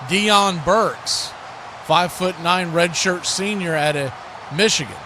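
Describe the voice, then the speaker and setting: High-pitched American man calling football, noisy background. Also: slow. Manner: clipped, present-tense calls mixed with chatty asides. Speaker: play-by-play sports commentator. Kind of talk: live American football play-by-play commentary. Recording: clear steady background noise.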